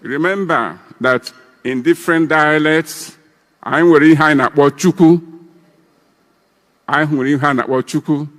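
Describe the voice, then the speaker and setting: A man's voice: a little high, moderate pace, pauses often, fairly bright tone, long reverb tail. A man in his eighties with a Nigerian Igbo accent, giving a ceremonial speech as an elder breaking kola nut. He rambles and repeats himself. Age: 80s.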